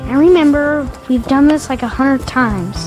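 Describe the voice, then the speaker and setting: A woman's voice: high voice, normal speed, music in the background, lightly acted, rough recording. A woman voicing a curious, innocent young boy in an audio drama, eager and questioning. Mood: mildly impatient.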